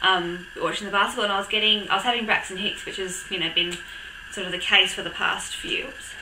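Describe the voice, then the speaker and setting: A young woman with a croaky voice from a cold, chatting casually to camera with lots of ums and little laughs. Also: medium pitch, steady tone, a little fast, reverberant, noisy background. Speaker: vlogger. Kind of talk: casual chat.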